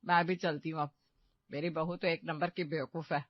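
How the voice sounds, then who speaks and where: A woman's voice: low-pitched, steady tone, normal speed, no room sound, dull audio, pitched below the performer's own voice. A woman narrator voicing a gossipy, disdainful middle-aged mother-in-law in a cartoon, chummy and complaining. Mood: scornful agreement. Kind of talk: animated moral-story cartoon acting.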